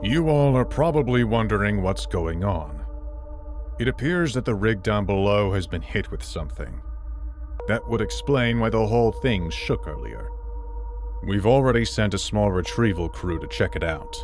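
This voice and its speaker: An actor playing an authoritative oil rig chief in an audio drama, grave and commanding. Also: male voice, fairly low pitch, animated, normal speed, darkish tone. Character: commanding male oil rig chief briefing his crew. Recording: music under the voice.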